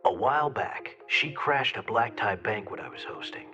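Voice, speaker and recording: An actor playing a mischievous, flamboyant rebel senator in a video game, speaking in a theatrical, teasing way. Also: male voice, fairly low pitch, expressive, moderate pace, highs cut off, music in the background, lightly acted. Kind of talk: scripted video game dialogue.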